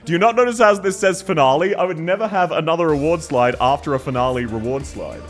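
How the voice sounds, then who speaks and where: A man's voice: on the high side, quick pace, faint music behind. An Australian man streaming live in casual chat, laughing often and thanking viewers one by one. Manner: giggly, thanks viewers warmly.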